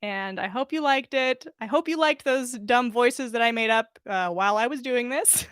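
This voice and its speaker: Bright female voice, fairly high, dry close sound, expressive casual fan fiction host. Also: normal speed, clean tone, no room sound. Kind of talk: expressive story reading and casual livestream chat.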